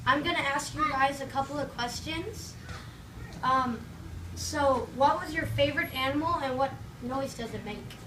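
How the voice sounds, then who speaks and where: High voiced lady doing kids story time, asks them to join in, far from mic in a really noisy echoey room. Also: normal speed. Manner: encouraging, prompting, acting out sound effects. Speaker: children's story-time teacher. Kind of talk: read-aloud picture book to young children, interactive with questions. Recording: roomy, distant mic, loud background noise.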